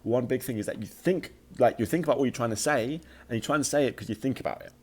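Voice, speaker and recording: A man giving an informal motivational talk as a podcast host, with a slight occasional stutter and a halting, emphatic delivery that repeats words. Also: mid-range voice, slightly rough.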